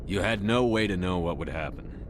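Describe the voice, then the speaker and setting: Man playing a low-voiced, terse military commander. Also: brisk, slight background noise, lightly acted. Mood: groggy, sober. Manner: terse, controlled. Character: stoic, dutiful male space marine commander. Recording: clear music behind.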